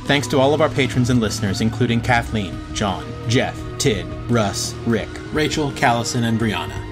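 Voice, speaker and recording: Man reading credits over clear music. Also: mid-range voice, expressive, moderate pace. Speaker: podcast credits announcer. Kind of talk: scripted credits narration.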